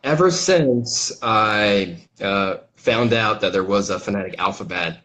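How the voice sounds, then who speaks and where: A young adult man from Brazil with a Brazilian accent, an English teacher talking casually over a video call, halting with lots of fillers and restarts. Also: fairly low pitch, fairly bright tone. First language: Portuguese. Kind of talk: casual interview chat.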